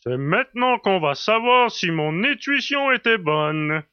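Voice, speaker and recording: Man acting a confident, high-pitched giant space commander. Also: sing-song, measured pace, clean tone, no room sound, dull audio. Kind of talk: science fiction audio drama dialogue.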